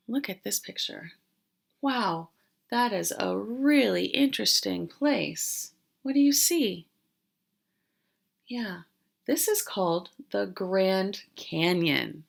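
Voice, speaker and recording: Slow, lively woman teacher reading aloud. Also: medium pitch, creaky. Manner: questioning, explanatory, with pauses for answers. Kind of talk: interactive read-aloud lesson for young children.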